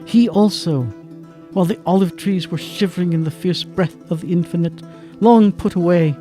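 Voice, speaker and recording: Narrator for literary audio drama male actor: solemn, deliberate, warm soft tone over faint music. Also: high voice, steady tone, natural voice. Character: solemn male storyteller of a literary radio play.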